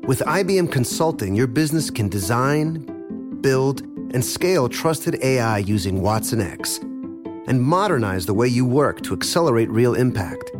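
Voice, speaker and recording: A man's voice: medium pitch, expressive, moderate pace, music in the background. Polished male corporate ad voice. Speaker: ad voice-over. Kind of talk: scripted corporate commercial.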